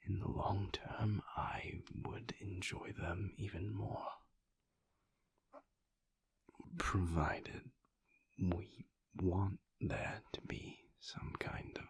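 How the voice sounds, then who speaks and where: Man as whispering, slow-spoken male vampire lover. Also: low-pitched, dry recording, full character voice. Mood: hesitant, wistful. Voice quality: breathy, husky whisper with gravelly creak. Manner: halting, measured, formal wording. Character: mysterious brooding male vampire lover, tender and hesitant. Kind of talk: intimate whispered audio roleplay.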